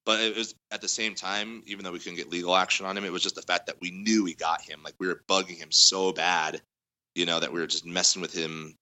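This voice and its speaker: A man with a loud voice, a guest musician in a casual interview, telling a long story with lots of fillers. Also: low-pitched, level tone, fairly quick, poor audio. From Denver area. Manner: rambling storytelling, heavy fillers.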